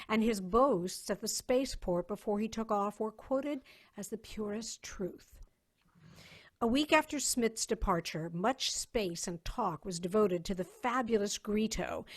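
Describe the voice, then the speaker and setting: Female narrator, mid-pitched, for a scripted pulp science fiction story reading. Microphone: video call.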